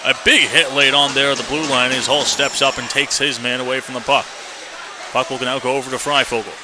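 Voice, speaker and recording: A man's voice: medium pitch, fairly quick. A man doing live radio play-by-play commentary of a hockey game in clipped running phrases. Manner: clipped running phrases, excited shouts on big plays. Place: indoor ice hockey arena. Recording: noisy live arena broadcast.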